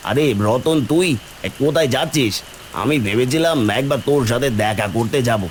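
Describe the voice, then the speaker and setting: A man playing a poor, gentle village father in a cartoon, soothing and resigned. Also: mid-range voice, fairly quick, slight background noise, close to an everyday voice. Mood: chatty. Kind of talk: Bengali cartoon voice acting.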